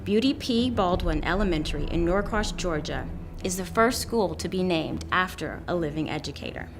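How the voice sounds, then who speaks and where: A woman's voice: lowish voice, animated, moderate pace, noisy background. A woman reading a scripted tribute story aloud as a narrator. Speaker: reader of nomination stories. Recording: prominent music bed under the voice. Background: background music.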